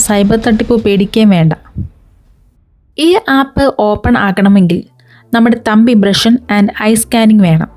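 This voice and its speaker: A woman's voice: fairly high pitch, a little mellow. A woman hosting an explainer video, talking viewers through it step by step with rhetorical questions. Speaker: video channel host.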